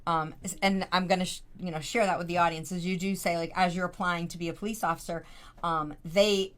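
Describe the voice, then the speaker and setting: A woman's voice: on the low side, fairly even intonation, a little fast, a bit bright. A woman hosting an interview podcast, encouraging her guest with frequent affirmations. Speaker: podcast host. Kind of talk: casual interview chat.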